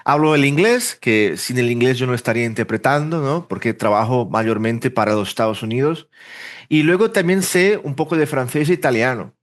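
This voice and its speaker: A man from Brazil, a native Portuguese speaker, being interviewed in a relaxed way, thinking aloud with restarts and fillers. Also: medium pitch, fairly quick. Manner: rambling, self-correcting, thinks aloud with fillers. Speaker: interpreter and language teacher, podcast guest. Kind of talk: casual interview conversation.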